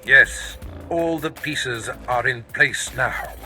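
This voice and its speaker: A man's voice: mid-range voice, slow pace, bright, forceful tone, soft background music. A man playing a grandiose, scheming Sith master in a video game, speaking with pompous, commanding deliberation. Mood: gloating. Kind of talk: dramatic video game acting.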